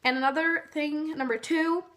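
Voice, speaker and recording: A woman's voice: sits high, steady tone, normal speed, a bit bright, slight background noise. A young woman from Norway, a native Norwegian speaker, giving casual tips in a chatty vlog full of fillers. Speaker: vlogger.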